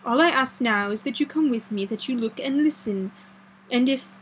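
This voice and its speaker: A woman's voice: on the high side, moderate pace, slight background noise, lightly acted, rough recording. A woman reading the part of a grave, earnest old foreign doctor in an audiobook, with solemn, formal, slightly broken phrasing. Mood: stern, solemn.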